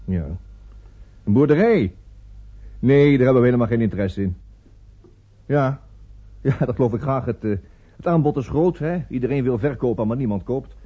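An actor playing a grasping, sly estate agent in a radio play, hedging with slick one-sided telephone patter. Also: male voice, mid-range voice, lively intonation, normal speed, pauses often, breathy edge. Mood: dismissive. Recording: dull, muffled old radio recording.

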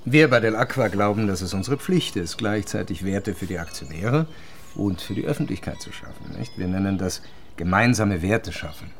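An actor playing a smooth-talking, unruffled water company chief in a naturalistic radio drama. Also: male voice, on the low side, animated, moderate pace, slight background noise. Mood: smooth, businesslike. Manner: corporate jargon, tag questions, unruffled. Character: smooth-talking, self-assured male water company CEO.

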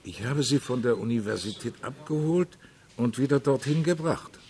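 An actor playing a working-class taxi driver in a radio play, giving a plain-spoken witness account. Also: male voice, medium pitch, normal speed, a little mellow, a little hiss or hum, natural voice. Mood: eager, chatty.

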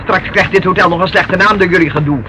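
A woman's voice: lowish voice, fast talker, lightly acted. An actress playing a sardonic, unflustered and evasive hotel landlady in a radio play, sarcastically polite and defiant. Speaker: radio drama actor. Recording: noisy old radio drama recording.

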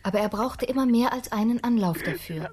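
A woman's voice: fairly high pitch, a little fast, a loud crowd. A woman giving scripted storybook narration in a radio play.

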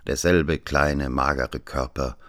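Very deep-voiced, measured magistrate narrator. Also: male voice, steady tone, fairly bright tone, dry recording, studio quality, exaggerated voice. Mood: detached, measured. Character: examining magistrate narrating wryly, strong male friend of a count. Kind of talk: scripted first-person radio drama narration.

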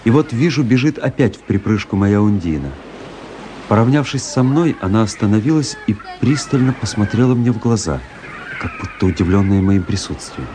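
Radio drama lead: man playing ironic young officer-narrator, low register, measured literary delivery, noisy recording. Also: expressive, close to an everyday voice. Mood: fascinated, observing. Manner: measured, reflective storytelling.

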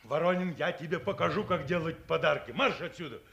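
An actor playing a stern, wounded army commissar who gives curt orders in a 1950s Soviet radio play. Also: male voice, a little high, brisk, creaky, sharp tone, lo-fi sound. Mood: stern anger. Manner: curt, commanding, clipped orders. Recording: noisy old radio play recording.

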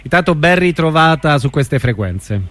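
A man hosts an informal radio interview. His voice is fairly high for a man, and he feels his way haltingly through questions. The sound is close and dry. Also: animated, a bit bright, dry recording.

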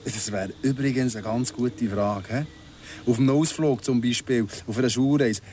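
A man's voice: medium pitch, normal speed, mellow, close to an everyday voice. An actor playing a needling, falsely courteous ex-convict in his thirties in a radio drama, speaking with a Swiss German accent, mock-polite and insistent. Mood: playful probing. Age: 30s.

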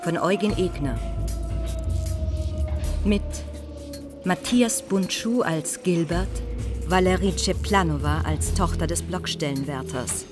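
A woman reading radio drama credits as a scripted announcement in a measured, formal manner. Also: fairly low pitch. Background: music.

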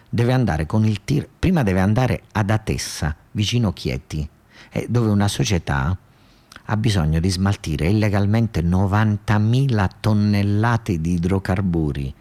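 A man's voice: low-pitched, a little mellow. A man with a southern Italian accent performing a colloquial theatrical monologue for radio, conversational, repeating phrases and restarting as he tells the story.

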